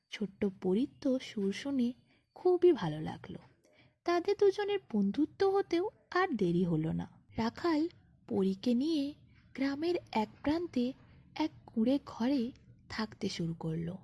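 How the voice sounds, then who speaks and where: Female bedtime-story narrator, higher register, breathy edge, expressive scripted delivery, close dry recording. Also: measured pace, no room sound.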